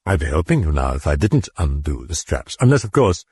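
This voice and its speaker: A man playing a calm, probing priest. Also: rumbling low, gravelly, slightly rough, full character voice. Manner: measured, patient questioning. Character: calm, probing middle-aged male priest.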